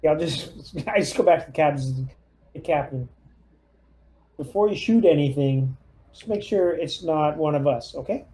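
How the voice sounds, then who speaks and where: A man's voice: on the high side, normal speed, warm tone, poor audio. A man playing a tabletop roleplaying game, giving orders in an easygoing, casual chat style.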